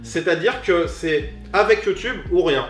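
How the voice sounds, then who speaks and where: A man in his thirties talking casually as an interview guest, animated and talkative, often restarting his sentences. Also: high voice, measured pace. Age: 30s. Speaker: guest, comedian. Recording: echoey room with music behind.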